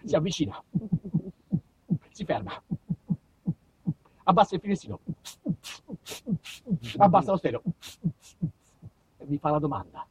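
A man's voice: on the high side, sing-song, unhurried, long pauses, husky, dry recording. A man narrating a theatrical comic monologue, addressing listeners directly with dramatic emphasis. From southern Italy. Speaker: storyteller, solo stage performer. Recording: slightly muffled, faint background noise.

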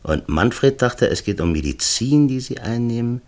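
An actor playing a distracted, fussy middle-aged screenwriter in a radio drama, musing haltingly and repeating himself. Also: male voice, medium pitch, animated, a little mellow, natural voice. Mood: searching, rambling. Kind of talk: naturalistic radio drama acting.

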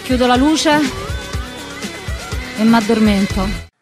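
High-pitched woman performing theatrical spoken word. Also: slow. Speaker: stage performer. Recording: music under the voice. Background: music.

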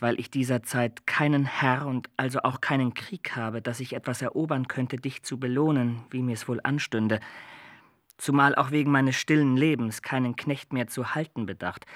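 An actor playing a kindly young soldier and master in a radio play, speaking in formal, archaic phrasing. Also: male voice, mid-range voice, no echo, clean studio sound, close to an everyday voice.

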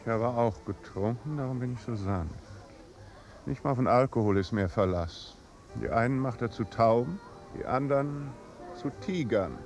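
An actor playing a dry, placid, world-weary duke in a 1950s German radio drama, measured, courtly and deadpan. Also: male voice, mid-range voice, faint music behind, lightly acted.

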